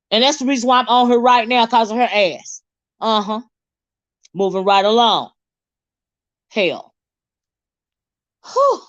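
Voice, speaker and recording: A woman hosting a casual livestream with sassy, animated, teasing talk and frequent laughter. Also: high-pitched, dry recording, dull audio. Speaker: channel host.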